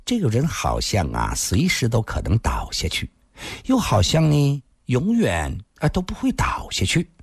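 Man putting on a coy, sly seductress with breathy giggles. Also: medium pitch, huge pitch swings, a little slow, no room sound, studio quality, full character voice. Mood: musing, coy.

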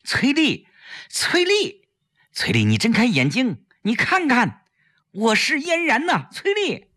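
A man's voice: high voice, wildly swooping pitch, a little slow, bright, forceful tone, husky, no echo, pitched above the performer's own voice, heavily acted. A man voicing a spirited, crafty young wife in a dramatic audiobook, with a theatrical, exclamatory manner. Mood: tearful calling.

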